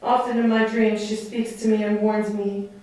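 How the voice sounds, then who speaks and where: A woman's voice: medium pitch, flat delivery, normal speed, a little mellow, close to an everyday voice. A woman playing a bitter, defiant enslaved island savage in a puppet show, taunting and declamatory in delivery. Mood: reverent defiance. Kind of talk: theatrical puppet show dialogue. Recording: very echoey room, distant mic.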